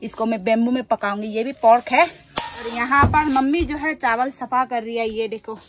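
A woman's voice: a little high, moderate pace, over music. A young woman with a Northeast Indian accent narrating a casual cooking vlog, recorded on a handheld camera at a rural home. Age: young adult. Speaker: vlogger. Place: rural bamboo house, kitchen and yard. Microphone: phone or camera at arm's length.

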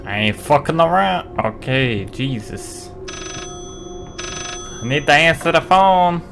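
Animated young man playing a game. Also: fairly high pitch, slow, vocal fry. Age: 20s. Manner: jokey, sweary, excitable. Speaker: gaming video host. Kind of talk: casual let's play commentary. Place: small home room, indoors. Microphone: close desk microphone with pop filter. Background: game music.

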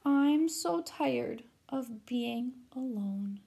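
A woman voicing a lonely, excitable female ghost in a children's picture book, with a gushing, dramatic manner. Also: fairly high pitch, drawn-out words, creaky, slight background noise, full character voice. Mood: weepy, lonely.

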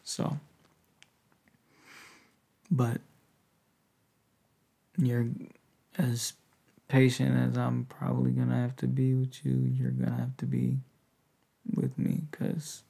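Young guy doing soft asmr boyfriend roleplay, super creaky and breathy, takes his time. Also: animated. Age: young adult. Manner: slow, hesitant, tender, low-key while lying down. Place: indoors, lying on a bed in a bedroom.

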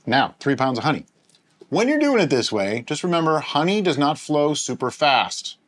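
A man's voice: a little high, normal speed. A middle-aged man hosting a home brewing show, casually explaining each step as he works at a table. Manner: animated, explains steps while handling gear. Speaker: homebrewing show host. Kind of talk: casual instructional chat. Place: home studio with shelves of bottles.

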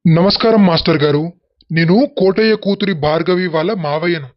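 A man's voice: on the high side, a little fast, close to an everyday voice. A man playing a stern, confrontational male relative in a cartoon moral story, questioning others. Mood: probing. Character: stern, accusing male relative exposing a family crime. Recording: dull, narrow-band voice track.

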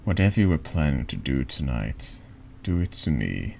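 A man's voice: a bass voice, very animated, normal speed, vocal fry, darkish tone, a little hiss or hum, full character voice. A man voicing a guilt-ridden penitent in a horror text game, earnest, pleading and resigned.

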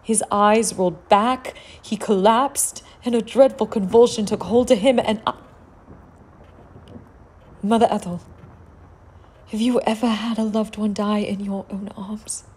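A young aristocratic widow lost in grief. Her voice is dark and quite breathy. She speaks with formal, theatrical desperation in the manner of a gothic radio play. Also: medium pitch, fairly even intonation, unhurried, pauses often. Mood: anguished. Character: young grieving noblewoman, genteel and desperate widow. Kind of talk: gothic audio drama acting.

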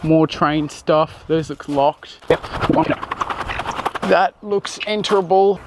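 A man's voice: a little high, takes it slowly. A young man, giving a casual, jokey walkthrough while exploring old trains, recorded on a handheld camera outdoors and in a locomotive cab. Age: young adult. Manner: chatty, jokey, running play-by-play. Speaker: urban explorer vlogger. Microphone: handheld action camera. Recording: noisy outdoor camera audio.

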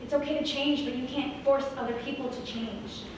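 A woman's voice: sits high, steady tone, fairly quick, natural voice. A young woman on stage playing a spirited, sassy young Mexican American heroine in a comic musical, projecting emphatically. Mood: earnest, firm. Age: young adult. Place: small indoor theatre stage, far camera. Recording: echoey stage from far off, audience noise.

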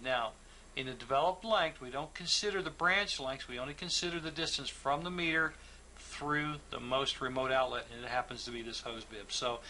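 A man teaching a technical lesson, patient and methodical as he talks through each figure. His voice is bright and forceful at an ordinary pitch. A faint hiss sits under his voice. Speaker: plumbing instructor. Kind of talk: instructional walkthrough.

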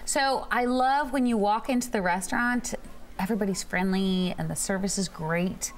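A woman's voice: mid-range voice, normal speed, low-quality recording. A woman in her thirties gushing about a local restaurant at a studio dinner table. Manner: gushing, animated. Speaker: guest reviewer. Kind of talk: casual dinner-table chat.

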